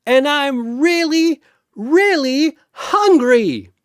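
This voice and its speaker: A man voicing a scrappy young female soldier who is always hungry, shouting defiant quips. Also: very high-pitched, expressive, extremely slow, no echo, studio quality, pitched above the performer's own voice, heavily acted. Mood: fierce.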